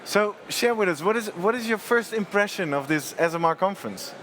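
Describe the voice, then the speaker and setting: Male live host, slightly high voice, loose and joking, laughs a lot. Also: animated, fairly quick, fairly bright tone, slight background noise. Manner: chatty, laughs often, talks in restarts. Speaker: host of a live conference studio show. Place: conference TV studio.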